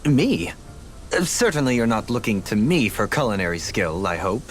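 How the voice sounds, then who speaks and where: A man's voice: lowish voice, very animated, normal speed, a little hiss or hum. A man playing a refined, politely aloof former lord in a video game, speaking formally and eloquently. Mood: wry, formal. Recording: music in background. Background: background music.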